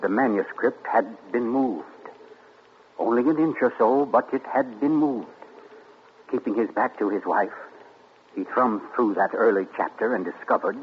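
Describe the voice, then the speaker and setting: A man narrating a 1940s radio drama in a measured, suspenseful way. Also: mid-range voice. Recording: muffled noisy 1940s radio broadcast.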